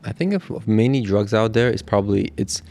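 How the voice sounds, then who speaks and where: Gravelly low-voiced man from Russia. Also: lively intonation, brisk, dark tone. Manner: reflective, candid storytelling. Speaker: guest, catering business owner. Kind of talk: casual podcast conversation.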